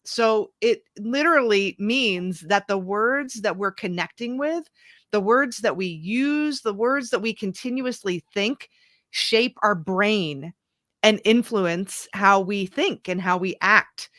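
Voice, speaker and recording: Woman, 30s, coach, earnest, reflective, slow, dry recording. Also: mid-range voice, a bit bright, no room sound. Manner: earnest, reflective, restarts and fillers. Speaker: guest, leadership coach. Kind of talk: conversational interview.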